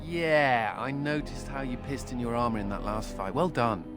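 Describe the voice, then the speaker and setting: A man's voice: medium pitch, moderate pace, lightly acted. A man playing a wry, flippant young-spirited mage in a video game, sardonic and playful. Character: flippant, wry male mage, restless escapee. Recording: reverberant hall with faint music.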